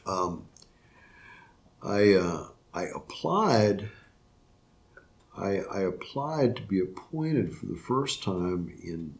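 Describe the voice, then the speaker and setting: Low-voiced older man, halting. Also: big pitch swings. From Florida, USA. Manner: halting, many restarts and fillers. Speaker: retiring circuit court judge. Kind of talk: reflective interview answers.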